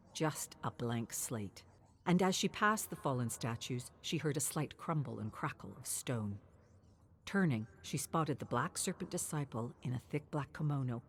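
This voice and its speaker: A woman with a notably low voice reads dark fantasy lore in a measured, grave storytelling manner. She lets key words linger and keeps the delivery steady. A faint hiss sits under the recording. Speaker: lore narrator. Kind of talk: scripted dark fantasy narration.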